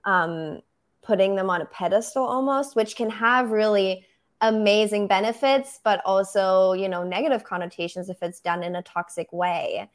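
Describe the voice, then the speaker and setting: Young woman, thoughtful and measured. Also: mid-range voice. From Germany. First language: German. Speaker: photographer, podcast guest. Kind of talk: interview answers.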